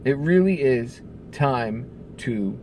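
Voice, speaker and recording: A man delivers an angry, profane political rant, leaning on sarcasm and blunt emphasis. His pitch leaps up and down as he presses each point. A faint hiss sits under the recording. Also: animated, slow pace, a little mellow. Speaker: political commentary host. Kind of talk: ranting commentary.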